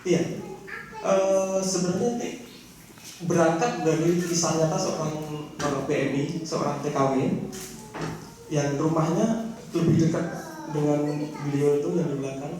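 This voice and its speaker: A man's voice: a little high, steady tone, measured pace, pauses often. A man from Indonesia speaking at a writing seminar, telling his story with many fillers and self-deprecating jokes. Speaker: guest speaker, novelist. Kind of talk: casual talk at a seminar. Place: seminar room. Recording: echoey room, distant mic, noisy.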